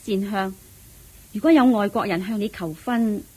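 A woman's voice: mid-range voice, normal speed, darkish tone, close to an everyday voice, poor audio. A woman with a Hong Kong Cantonese accent playing a firm, disapproving well-off mother in a radio drama.

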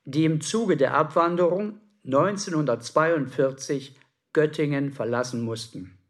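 A man's voice: fairly high pitch, unhurried, lightly acted. A man playing a dry town clerk who reads an official letter precisely and formally in a documentary radio play. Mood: matter-of-fact. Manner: official, matter-of-fact, precise.

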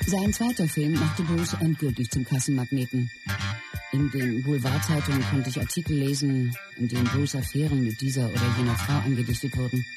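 An actress playing a film star's loyal wife, looking back in a candid, confiding way in a radio play. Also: female voice, rumbling low, fairly quick. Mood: reflective, candid. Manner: reflective, confiding. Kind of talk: scripted reminiscence in a radio play. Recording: loud music under the voice.

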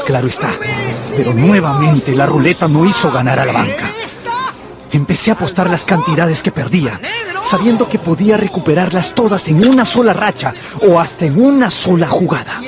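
An actor playing an anxious gambler who narrates his own ruin in a radio novel, brooding and intense, with dramatic pauses. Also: fairly high pitch, animated, loud music behind the voice, close to an everyday voice. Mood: feverish, defiant.

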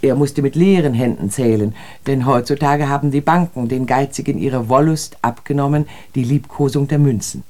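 An actress playing a disillusioned, educated woman in a literary radio drama, speaking in a measured, poetic and pensive way. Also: extremely low pitch, a little mellow. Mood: wry storytelling.

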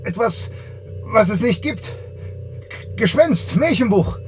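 Male test pilot for radio drama: slightly high voice, clipped delivery, faint music bed. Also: expressive, slow pace. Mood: agitated, frightened. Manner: clipped telegram-like answers. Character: terse male space test pilot, obedient but increasingly frightened. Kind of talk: German radio play acting. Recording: music behind the voice.

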